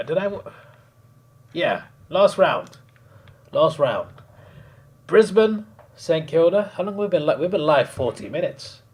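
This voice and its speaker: A man chatting casually on a live stream, hoarse, rambling and laughing often. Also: fairly high pitch.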